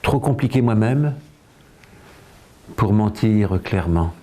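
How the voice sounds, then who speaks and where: A man reads poetry in a fairly low, dark and mellow voice. His delivery is very slow and measured, with short phrases and clear pauses. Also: animated, slight background noise. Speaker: poetry reader. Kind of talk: quiet poetry recitation.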